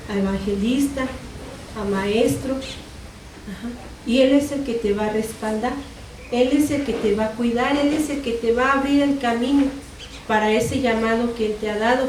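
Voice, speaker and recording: A woman from Mexico with a Mexican Spanish accent teaching a Bible class in an earnest tone, repeating phrases and correcting herself. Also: fairly high pitch. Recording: echoey room, distant mic, noisy.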